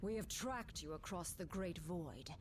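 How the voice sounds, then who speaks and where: An actress playing a cold alien warrior villainess in a video game, formal and haughty, with music behind. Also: female voice, lowish voice, expressive, unhurried, background noise. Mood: cold threat. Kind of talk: video game cutscene acting.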